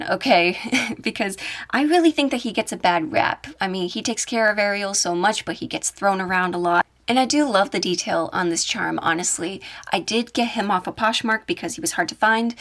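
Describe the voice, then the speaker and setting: Chatty, enthusiastic woman doing show-and-tell. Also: medium pitch. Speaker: YouTube audio creator showing her collection. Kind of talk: casual show-and-tell narration.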